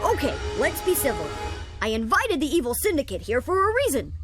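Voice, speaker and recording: A woman's voice: sits high, very animated, fairly quick. A woman voicing a brainy, bossy boy inventor in a cartoon game, explaining things in a know-it-all way. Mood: take-charge. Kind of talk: animated game cutscene acting. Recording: game music behind the voice.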